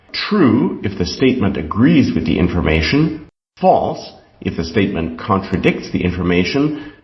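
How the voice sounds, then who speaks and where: In a dull, echoey room, a man reads exam material from a script. His delivery is slow and deliberate, and his intonation rises and falls noticeably as he sets out each instruction. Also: lowish voice. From Canada. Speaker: exam passage reader. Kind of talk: scripted reading of test instructions and questions.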